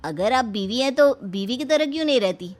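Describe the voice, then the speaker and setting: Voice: mid-range voice, animated, brisk, close to an everyday voice. An actor voicing a jovial, teasing young male friend in a cartoon story. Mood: puzzled.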